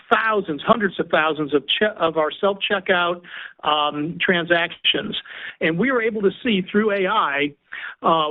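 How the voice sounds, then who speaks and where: An older man, a company executive, gives halting, folksy unscripted business remarks on an earnings call. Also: a little high. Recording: thin, narrow-band phone conference line.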